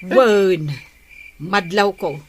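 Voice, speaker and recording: Suspicious nagging Filipino housewife. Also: female voice, mid-range voice, very slow, slight background noise. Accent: Filipino (Ilocano). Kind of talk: Ilocano comedy radio drama.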